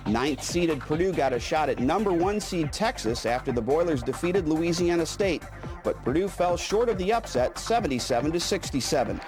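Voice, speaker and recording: A man's voice: fairly high pitch, lively intonation, moderate pace, over loud music. A man reading scripted newsreel narration as the narrator.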